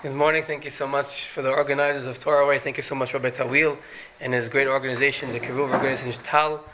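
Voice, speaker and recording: A man's voice: medium pitch, flat delivery, fast talker, pushed and bright, a little hiss or hum, poor audio. A man born in Syria, a middle-aged rabbi giving an earnest Torah lecture that climbs to emphatic peaks.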